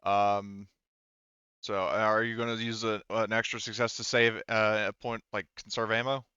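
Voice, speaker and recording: Male game master, smooth lowish voice, halting think-aloud delivery at the table, dry but slightly dull lo-fi audio. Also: little pitch movement, bright, forceful tone, no room sound. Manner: halting, thinking aloud while working through rules and dice. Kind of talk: running a tabletop role-playing game, casual chat.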